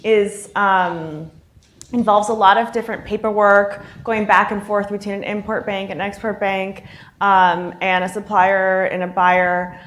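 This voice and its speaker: A woman's voice: mid-range voice, little pitch movement, unhurried, fairly bright tone. A woman speaking on a conference panel, explaining her points with frequent fillers. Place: conference hall.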